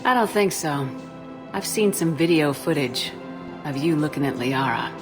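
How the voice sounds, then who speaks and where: An actress playing a steady, direct human soldier in a video game, with restrained delivery. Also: female voice, low voice, normal speed, over music. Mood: firm. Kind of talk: scripted game dialogue.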